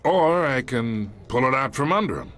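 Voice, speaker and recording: An actor playing a smug, menacing middle-aged mob boss in a video game, with a slangy, swaggering, taunting delivery. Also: male voice, mid-range voice, expressive, moderate pace, fairly bright tone, slight background noise, close to an everyday voice. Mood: quietly threatening.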